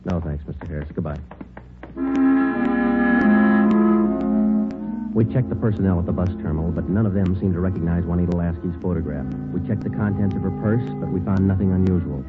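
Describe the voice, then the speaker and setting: A man's voice: low voice, fast talker, hardly any pauses, close to an everyday voice. An actor playing a terse, businesslike American police sergeant in a 1940s radio crime drama, narrating his case in a clipped, matter-of-fact way. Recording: muffled vintage 1940s radio recording, music behind.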